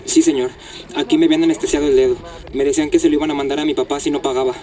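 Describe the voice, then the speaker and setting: A man acting a young male kidnap victim in an audio drama. His voice is fairly high and soft-edged, and he speaks quickly but haltingly, nervous and deferential. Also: steady tone, close to an everyday voice. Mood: nervous, hesitant. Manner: hesitant, stammering, deferential.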